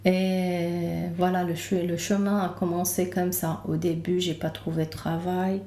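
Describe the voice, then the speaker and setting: A woman's voice: lowish voice, flat delivery, a little slow, mellow. A woman from Lebanon with a Lebanese accent, telling her story as a podcast guest and searching for her words. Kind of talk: casual interview, telling own story. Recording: roomy, echoing room.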